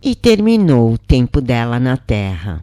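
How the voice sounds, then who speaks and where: A woman reading a channeled spiritual story aloud in a calm, measured, reverent first-person voice. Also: a bass voice, no echo, studio quality. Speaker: reader of channeled spirit messages.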